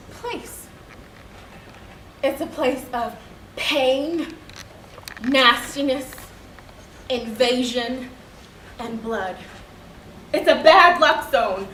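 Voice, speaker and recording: Woman acting as a wounded little girl telling memories onstage, super high dramatic voice, far from mic. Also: a bit bright, exaggerated voice. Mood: bitter, somber. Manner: childlike, dramatic, emphatic delivery. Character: wounded, frank young girl recalling childhood memories. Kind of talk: theatrical monologue performance. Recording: echoey room, distant mic, faint noise.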